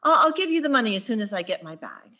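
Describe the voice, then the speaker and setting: A woman playing a wry, quick-witted schoolteacher in an old-time radio sitcom style, with dry sarcastic delivery. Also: on the high side, big pitch swings, bright, forceful tone, exaggerated voice.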